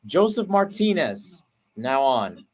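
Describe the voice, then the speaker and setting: A man's voice: mid-range voice, big pitch swings. Man calling soccer play-by-play. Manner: brisk flowing call, rising sharply on chances; self-correcting, explains tactics between plays. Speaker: play-by-play commentator.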